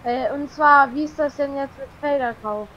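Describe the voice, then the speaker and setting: A man voicing a high-pitched male farmer who calls with hesitant questions in a roleplay phone call. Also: moderate pace, sharp tone, slight background noise. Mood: curious. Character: high-voiced male farmer caller Hans-Peter.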